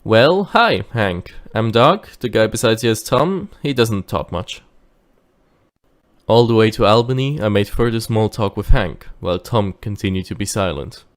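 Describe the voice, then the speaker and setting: A man playing a dutiful, wary driver who recounts his tale in measured audio drama narration. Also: fairly low pitch, expressive, lightly acted. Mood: friendly, practical, then uneasy.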